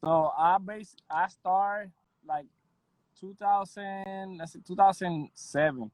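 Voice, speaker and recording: A man in his thirties from Puerto Rico, a guest audio engineer chatting in a relaxed, modest way with soft laughs and lots of "you know". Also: high voice, slow pace, dry recording, rough recording. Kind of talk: casual interview chat.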